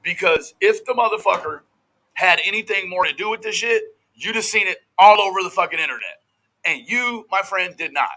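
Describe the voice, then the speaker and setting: A man's voice: sits high, expressive, fairly quick, sharp tone, poor audio. A man giving casual, profane reaction commentary as host of a true crime show, in a brash, folksy, slangy way.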